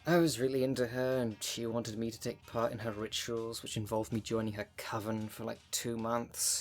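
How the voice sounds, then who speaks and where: A man's voice: on the low side, lightly acted. A man playing a jaded, sardonic thirty-two-year-old witch in an ASMR roleplay, talking in a dry, sarcastic, conversational way. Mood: sardonic reminiscing. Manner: dry, sarcastic, sweary, sighing.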